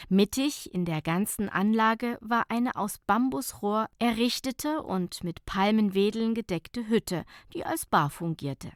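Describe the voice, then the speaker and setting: A woman narrating a light short story as an audiobook, in measured, clearly phrased storytelling. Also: mid-range voice, no room sound, clean studio sound.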